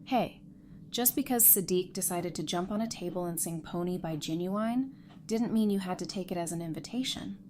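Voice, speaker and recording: A woman's voice: fairly low pitch, moderate pace, mellow, slight background noise, close to an everyday voice. A woman playing an upbeat, teasing expectant mother and loyal best friend in an audio drama. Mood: teasing, excited.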